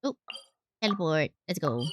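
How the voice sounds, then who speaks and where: A woman's voice: low-pitched, very animated, moderate pace, heavy background noise. A woman VTuber giving casual playthrough commentary, playfully musing aloud and reading game text.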